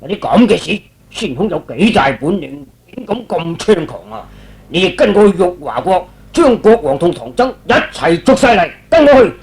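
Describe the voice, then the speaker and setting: A man's voice: sits high, very animated, moderate pace, darkish tone. A man voicing a fierce, boastful old male lion demon, blustering in Cantonese radio drama style. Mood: indignant.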